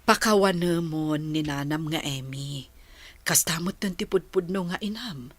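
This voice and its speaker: An actress playing a gentle older adoptive mother in a radio drama, with a low husky voice and an Ilocano accent. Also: a little slow, no echo. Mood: gentle, pleading.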